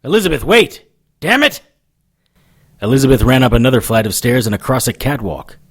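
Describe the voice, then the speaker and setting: Guy acting as hard-bitten detective man in a radio play, gruff n curt, sardonic, medium pitch. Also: big pitch swings, fairly quick. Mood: urgent, angry. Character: hard-bitten, stubborn, experienced male private investigator. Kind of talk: audio drama acting with first-person narration.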